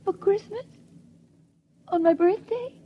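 An actress playing a fragile, distraught mother in a 1950s radio drama, halting and plaintive, full of dreamy longing. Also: very high-pitched. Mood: pleading, distraught. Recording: dull 1950s radio recording.

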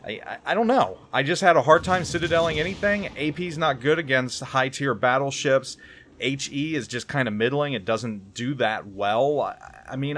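A man's voice: medium pitch, a bit bright. A man giving casual gameplay commentary as a game reviewer, rambling and hesitant, often repeating words.

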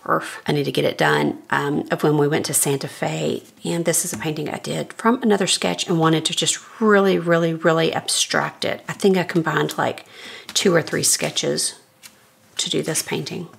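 A woman's voice: sits low, normal speed, creaky, husky. A middle-aged woman with a scratchy voice, casually talking through her sketchbook pages while flipping them in her studio. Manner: relaxed, thinking aloud while flipping pages. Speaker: artist giving a sketchbook tour. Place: home art studio, indoors. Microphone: overhead camera, speaker off-frame.

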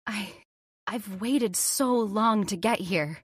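A woman's voice: medium pitch, slow, husky, dry recording, studio quality. A woman playing an anxious, insistent young woman in an old-time radio horror drama, pleading and questioning dramatically. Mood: uneasy wonder.

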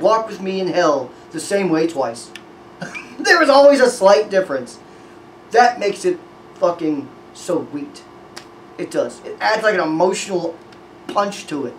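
Excitable young man reacting to metal. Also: sits high, measured pace, recorded from a distance. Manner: excited, profane, rambling praise. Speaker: music reaction host. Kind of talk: casual music reaction commentary. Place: small room with red backdrop. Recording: echoey room, voice a bit off-mic, faint noise.

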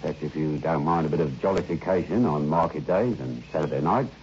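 An actor playing a polite, deliberate railway ticket collector in an old radio drama. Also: male voice, extremely low pitch, darkish tone. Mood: helpful, deliberate. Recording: dull old radio recording, faint noise.

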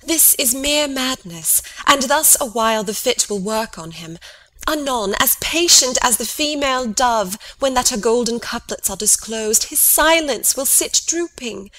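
A woman playing an anxious, gentle queen in a classical Shakespearean dramatic reading, soothing and poetic. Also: a little high, lively intonation, a little slow, low-quality recording. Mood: pleading, soothing.

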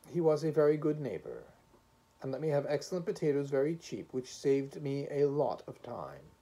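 Reader voicing a meek, breathy little man. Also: medium pitch, mellow, voice pushed up, rough recording. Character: meek, humble little man, anxious and kind.

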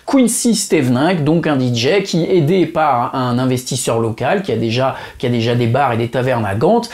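A man's voice: mid-range voice, normal speed. Chatty male video host. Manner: chatty, animated, many fillers. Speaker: YouTube host. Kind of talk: narrated video then interview. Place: home room, indoors.

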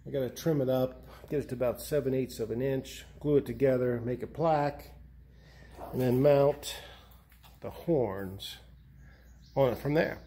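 A middle-aged man talks casually through a hands-on DIY job. His voice is relaxed and dark, and his delivery is slow and halting. A faint-to-clear bed of garage noise sits behind him. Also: mid-range voice. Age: 50s. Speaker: DIY hobbyist host. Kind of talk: casual hands-on workshop narration. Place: indoor garage workshop.

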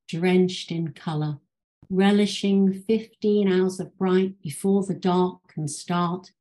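A woman reads a poem aloud in a lowish, mellow voice. She moves slowly and lyrically through the lines, as a poet sharing her own work on a video call. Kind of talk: poetry reading. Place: indoors at home.

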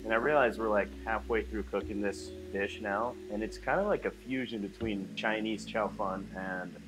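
A young man talking viewers through a recipe in a small studio kitchen set, relaxed and chatty, with music playing underneath. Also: on the low side, moderate pace, background noise. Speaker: cooking vlogger. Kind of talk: casual cooking demonstration. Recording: clear background music under voice.

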